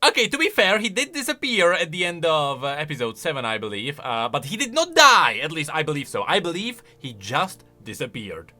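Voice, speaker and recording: Animated, high-pitched male game commentator. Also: a little fast, hardly any pauses, faint music behind. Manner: animated, with emphatic bursts and quieter asides. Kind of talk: casual reaction commentary on game voice lines.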